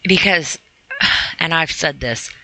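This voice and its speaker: Bright-voiced woman, conversational and earnest. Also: deep voice, slow, low-quality recording. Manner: conversational, earnest, rhetorical questions to the listener. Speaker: podcast host reading and commenting on a sobriety e-book. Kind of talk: reading aloud with informal motivational commentary.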